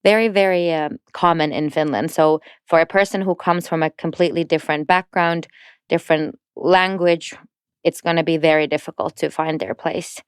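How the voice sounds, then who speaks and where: Female podcast guest, fluent and informative, resonant low voice. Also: fairly even intonation, moderate pace, smooth, dry recording, studio quality. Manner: fluent and explanatory, light laughs between words. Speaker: podcast guest, entrepreneurship network representative. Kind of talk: casual podcast conversation.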